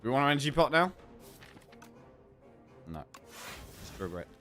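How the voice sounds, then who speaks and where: Bright-voiced man streaming a game. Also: mid-range voice, big pitch swings, moderate pace, faint music behind. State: sore throat. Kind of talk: casual gameplay commentary, thinking aloud.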